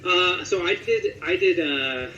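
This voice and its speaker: A man's voice: high voice, slow pace, sharp tone, lo-fi sound, a little hiss or hum. A man giving a casual interview as a voice actor, talking conversationally and thinking aloud with some hesitation. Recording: roomy echo with music underneath.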